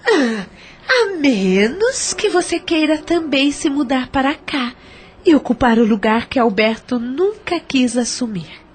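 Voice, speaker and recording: A woman's voice: high-pitched, expressive, a little slow, a little hiss or hum. A woman playing a vindictive, seductive possessed mother in an audio drama, haughty and insistent. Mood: defiant.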